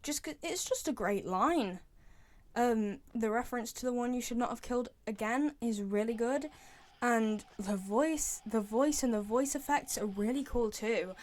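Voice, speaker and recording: Young woman doing casual game fan commentary, kinda high voice, chatty and opinionated. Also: moderate pace. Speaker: video creator reviewing game voice lines.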